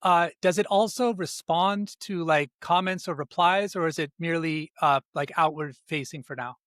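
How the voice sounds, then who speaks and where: A man's voice: a little high, moderate pace, a bit bright, no room sound, clean studio sound. A man hosting a podcast, asking curious, rambling questions with many fillers. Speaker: podcast host. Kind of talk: casual podcast interview.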